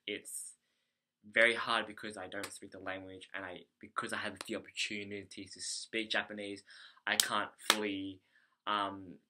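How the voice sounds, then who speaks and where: This is an Australian man with a fairly low voice that has a very bright, forward edge. He talks as if recording a personal video diary, unscripted and hesitant. He tends to ramble and rework his phrases as he goes. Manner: hesitant, rambling, self-correcting. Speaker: vlogger, English teacher abroad.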